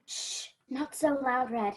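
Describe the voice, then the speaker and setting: A woman playing a cautious young girl in a stage play, speaking hushed and worried. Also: high-pitched, slow, slightly rough, full character voice.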